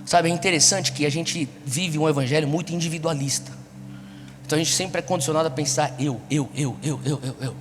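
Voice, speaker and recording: A man's voice: fairly high pitch, steady tone, fairly quick, a little hiss or hum. A Brazilian man preaching to a young congregation, exhorting them with repeated rhetorical questions. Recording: echoey large hall, music behind. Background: music.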